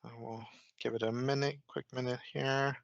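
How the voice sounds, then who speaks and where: Even-toned man giving a software walkthrough. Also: medium pitch, normal speed, vocal fry, dry recording, lo-fi sound. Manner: informal, explanatory, step by step. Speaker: software consultant, demo presenter. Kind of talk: casual screen-share software walkthrough.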